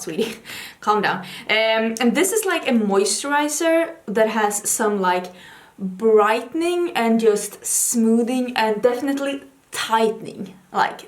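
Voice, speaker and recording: A young woman with a Swedish accent chats away at her makeup station, rambling with giggles and little self-teasing asides. Her voice sits fairly high, and the room around her rings with echo. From Sweden. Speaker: beauty vlogger. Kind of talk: casual get-ready-with-me chat. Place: home makeup station, indoors.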